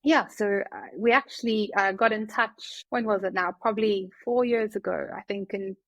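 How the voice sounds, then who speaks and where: A woman's voice: mid-range voice, fairly even intonation, normal speed, dry recording. A South African woman in her thirties, a doctor chatting as a guest, with plenty of fillers and restarts. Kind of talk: casual interview talk.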